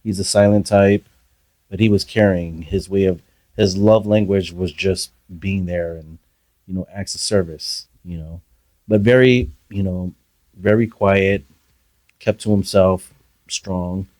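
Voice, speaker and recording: A man guest on a podcast, telling his childhood story in a soft, hesitant way with many pauses. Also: sits low, dry recording. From Philippines. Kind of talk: reflective personal storytelling.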